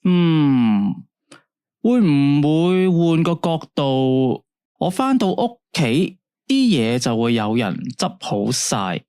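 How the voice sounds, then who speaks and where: Late-night radio host with a Hong Kong Cantonese accent, unhurried, soothing and dry-sounding, played by a man. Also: a little high, sing-song, a little mellow, clear, resonant tone, no echo, heavily acted. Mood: calm. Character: male late-night radio phone-in host, calm and advising. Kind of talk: radio drama acting.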